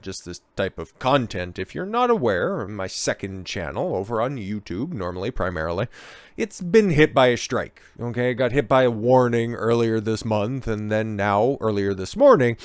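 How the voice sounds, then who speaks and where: Hoarse, low-voiced man, rambling. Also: sing-song, moderate pace, no echo. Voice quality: raspy. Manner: rambling, tags sentences with "okay?". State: a bit hoarse from being under the weather. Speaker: channel host. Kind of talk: casual talking-head monologue.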